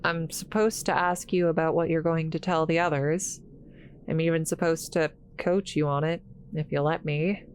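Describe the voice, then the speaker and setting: A woman playing an awkward, kind scientist in a roleplay audio drama, halting and apologetic, stammering as she talks to the listener. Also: deep voice, fairly even intonation, a little hiss or hum, lightly acted. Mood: hesitant, curious.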